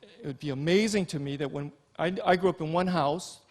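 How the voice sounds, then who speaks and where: A middle-aged man from Hawaii giving an informal keynote as an Army general and physician, telling personal stories in a folksy, halting way. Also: a little high, a little mellow.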